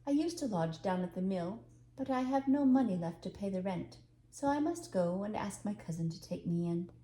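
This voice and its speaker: Storybook young mother, read by a woman: poor, proud, bitter yet kind, plain delivery, soft-edged tone, echoey room. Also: medium pitch, normal speed, close to an everyday voice. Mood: flat, bitter. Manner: flat, plain-spoken. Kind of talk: storybook character reading. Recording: roomy echo, faint background noise.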